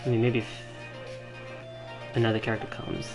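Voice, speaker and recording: Halting male game streamer, medium pitch. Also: monotone. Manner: halting, rambling, thinking aloud. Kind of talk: casual live-stream gameplay commentary. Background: game music.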